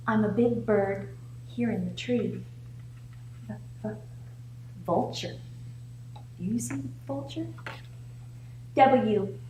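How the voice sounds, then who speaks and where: Female storytime reader, slightly high voice, very slow and encouraging delivery for young kids, recorded in an echoey room. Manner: encouraging, slow, sing-song prompting of young listeners. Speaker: library storytime host reading a picture book aloud. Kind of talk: read-aloud for young children with prompting questions. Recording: echoey room with faint background noise.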